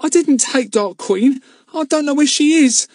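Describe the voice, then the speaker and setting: A man's voice: high-pitched, steady tone, measured pace, a little mellow, no echo, clean studio sound, voice pushed up. A man voicing a frightened young male gardener who stammers and pleads. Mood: pleading.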